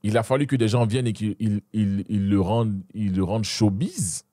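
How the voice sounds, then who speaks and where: A man's voice: fairly low pitch, moderate pace, no echo, clean studio sound. A young adult man with an Ivorian French accent, a guest talking animatedly in a casual interview, often restarting and checking in with the listener. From Ivory Coast. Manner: animated, repeats and restarts, addresses listener with "tu vois". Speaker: guest, Muay Thai champion.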